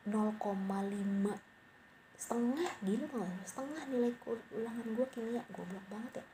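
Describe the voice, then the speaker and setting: A young woman rambling casually and mocking herself, sometimes dropping to a whispery aside. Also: mid-range voice. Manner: rambling, self-mocking, slangy. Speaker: podcaster. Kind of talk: casual late-night monologue. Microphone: cheap home mic. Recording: noisy home mic recording.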